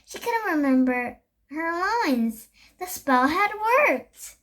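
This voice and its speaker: A very young-sounding reader with a high voice reads a children's chapter book aloud, stumbling over words and correcting them. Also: lively intonation, takes it slowly, a bit bright. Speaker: storybook reader.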